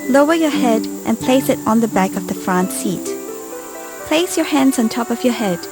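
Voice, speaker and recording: Woman doing safety video narration, clear calm instructions, music playing under her. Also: fairly high pitch. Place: studio voice-over. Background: music bed.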